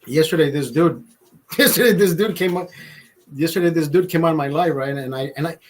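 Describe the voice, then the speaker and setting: A man in his forties with a notably high voice, chatting loosely to his livestream viewers. He rambles, swears freely and restarts phrases, and he sounds a little tipsy as he sips beer. His pep talks swing loud and emphatic. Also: a little fast, slight background noise. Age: 40s. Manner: loud emphatic motivational rants. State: drinking beer, a bit tipsy. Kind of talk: casual livestream chat.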